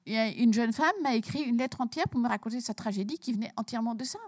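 A woman's voice: on the high side, fast talker, darkish tone, no echo, clean studio sound. A woman author from Belgium, talking emphatically about her book in an interview and repeating words as she starts her sentences.

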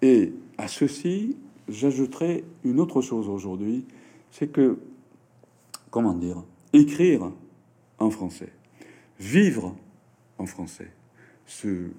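Man, very slow, dark mellow voice, halting with fillers. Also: on the low side, big pitch swings, breathy edge. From Japan. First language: Japanese. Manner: hesitant, halting, with frequent fillers and restarts. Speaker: writer and French teacher, interview guest. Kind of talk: interview answers.

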